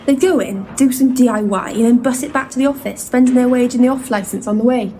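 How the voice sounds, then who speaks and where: A woman's voice: on the high side, quick pace, dark tone, slight background noise, natural voice. An actress playing a long-suffering office colleague telling her story dryly and matter-of-factly in an audio drama. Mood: weary, dry. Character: long-suffering female office colleague recounting past events.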